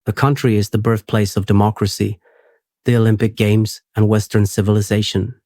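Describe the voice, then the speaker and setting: A man hosting a sleep podcast, reading a script in a steady, unhurried, soothing way. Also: fairly low pitch, dry recording.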